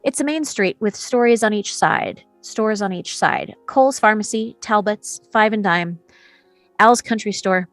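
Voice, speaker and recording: A woman's voice: medium pitch, measured pace, no echo, soft background music. A woman narrator reading screenplay action and scene descriptions at a table read. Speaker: narrator reading stage directions.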